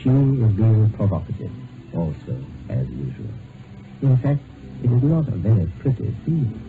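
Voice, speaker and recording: A man's voice: lowish voice, big pitch swings, moderate pace, music in the background. A man acting as a dry, wry narrator of a scripted radio drama. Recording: tinny, noisy old radio broadcast.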